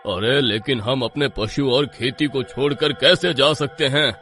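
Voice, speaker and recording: An actor playing an exasperated, grudging village landlord in a horror story, with a grumbling, complaining manner. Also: male voice, mid-range voice, unhurried, sharp tone, soft background music. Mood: worried.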